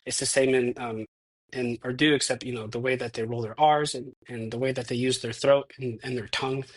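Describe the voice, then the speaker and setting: A man's voice: medium pitch, fairly even intonation, brisk. A man of thirty-one, a stuntman guest in a casual interview, talking reflectively with many restarts. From United States. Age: 30s.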